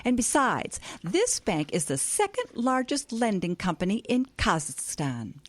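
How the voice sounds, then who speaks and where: Naive, breezy grandma for radio play, woman performer; very animated melody, dry recording. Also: medium pitch, measured pace, no room sound. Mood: pleased, breezy. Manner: airy, breezy, scatterbrained. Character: cheerful, naive hippie grandmother starting a small newspaper. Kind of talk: audio drama acting.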